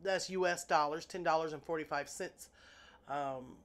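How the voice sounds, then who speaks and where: A woman's voice: deep voice, moderate pace. A woman, chatting to her viewers in a loose, self-amused way. She sounds congested and a little sniffly. She talks at her nail desk at home, close to an overhead camera. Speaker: nail artist vlogger. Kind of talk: casual unboxing chat.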